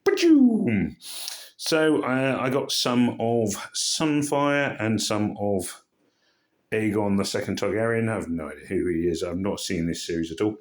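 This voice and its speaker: A man with a hoarse, croaky voice, worn down by a cold. His voice sits a little low, and he rambles through casual, self-deprecating commentary as he plays a mobile game. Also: animated, a little slow. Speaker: game channel host.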